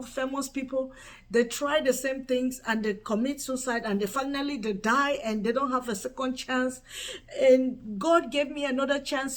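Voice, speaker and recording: A woman from Cameroon, giving an earnest personal faith testimony and repeating words for emphasis. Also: high-pitched. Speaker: channel host giving a testimony.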